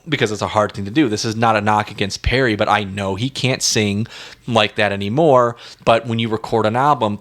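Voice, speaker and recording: A man co-hosting a casual music discussion, halting and repeating himself for emphasis. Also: medium pitch, fairly bright tone. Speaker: music podcast co-host.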